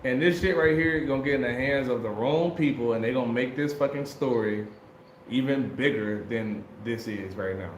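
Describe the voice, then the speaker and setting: A man's voice: mid-range voice, moderate pace. American guy, livestream host, loose slangy chat, echoey room, faint hiss. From United States. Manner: slangy, laid-back, laughs often.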